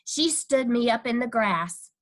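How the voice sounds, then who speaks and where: A woman's voice: on the high side, expressive, a little slow. A woman voicing a cheeky, stubborn kindergarten girl who tells her own story with childish, emphatic, dramatic delivery. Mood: matter-of-fact. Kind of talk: first-person children's storybook reading.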